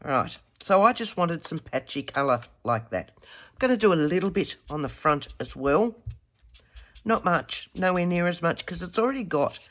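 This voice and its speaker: Croaky Australian woman demonstrating a craft. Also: fairly low pitch, animated, normal speed, fairly bright tone. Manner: chatty, thinks aloud, self-correcting. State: croaky from a head cold. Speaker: craft tutorial host. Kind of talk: casual demonstration while crafting. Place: craft desk indoors.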